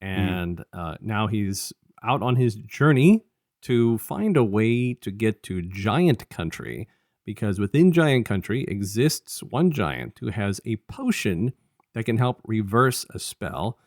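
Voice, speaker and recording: A man with a fairly low voice chats casually as a review co-host. He rambles and restarts his thoughts as he goes. The sound is close and dry, with very little room in it. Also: very animated, no echo. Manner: halting and rambling, often restarting sentences. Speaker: podcast co-host.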